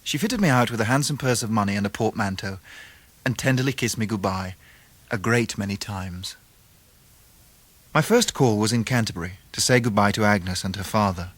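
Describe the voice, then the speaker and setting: A man's voice: on the low side, moderate pace, fairly bright tone. An actor playing an earnest, affectionate, well-mannered young man in a period radio drama, tender and a little hesitant. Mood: measured narration.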